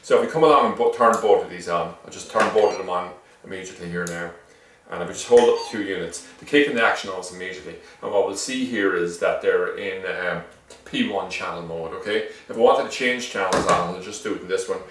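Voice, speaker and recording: Echoey, fast-talking low-voiced Irish man. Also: a bit bright, slight background noise. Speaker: shop seller presenting product reviews. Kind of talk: informal unscripted product demonstration. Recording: echoey room, distant microphone.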